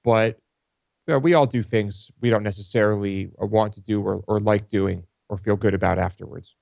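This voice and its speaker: A man's voice: on the low side, steady tone, normal speed, vocal fry, darkish tone, dry recording, studio quality. A man co-hosting a talk show, chatting casually and hesitantly. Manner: hesitant, many fillers and restarts. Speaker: co-host. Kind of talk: casual chat.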